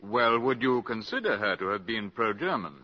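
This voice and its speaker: An actor playing a brisk, businesslike counter-espionage officer who questions and reports, in 1950s radio drama style. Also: male voice, fairly low pitch, lively intonation. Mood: probing. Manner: probing, businesslike. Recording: dull 1950s radio broadcast.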